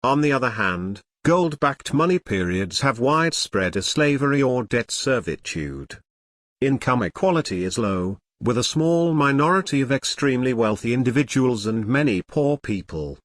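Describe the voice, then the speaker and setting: A man's voice: mid-range voice, lively intonation, normal speed, a bit bright, no echo. A man giving scripted explainer narration in an earnest, formal, teacherly manner.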